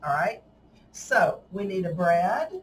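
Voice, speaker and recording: A woman's voice: on the low side, expressive, takes it slowly. Chatty Southern woman teaching crafts. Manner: chatty, folksy, laughs often. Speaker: craft tutorial host. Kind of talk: casual hands-on craft demonstration. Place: indoor craft table. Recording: slightly distant mic, faint room noise.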